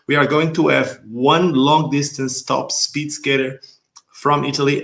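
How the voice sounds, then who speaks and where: A man's voice: medium pitch, gravelly. Portuguese-accented man hosting a video call. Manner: animated, laughing, gestures a lot. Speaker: host. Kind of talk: casual video-call interview. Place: dim home room. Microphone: headset on video call.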